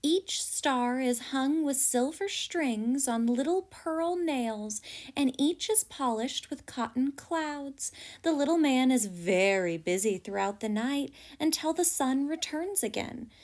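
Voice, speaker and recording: A woman voicing a kindly, wise little male ant who gently explains and reassures in a children's storybook. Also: high-pitched, lively intonation, takes it slowly. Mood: reassuring. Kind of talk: children's storybook read aloud.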